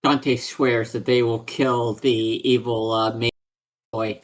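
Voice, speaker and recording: A man's voice: medium pitch, flat delivery, slow pace. A man playing a tabletop roleplaying game, chatting casually and jokingly with the group.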